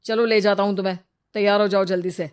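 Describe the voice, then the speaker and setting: A woman's voice: medium pitch, steady tone, quick pace, clean tone, lightly acted. A woman voicing a bossy, fussy cartoon husband who scolds and makes demands like a mother-in-law. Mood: bossy, grumbling.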